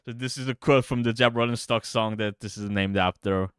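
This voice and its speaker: A man's voice: mid-range voice, fairly even intonation, brisk, fairly bright tone, clean tone, dry recording, studio quality. A man chatting casually on a livestream as a game developer, stammering and repeating his words. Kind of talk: casual livestream chat with some read-aloud narration. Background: guitar music.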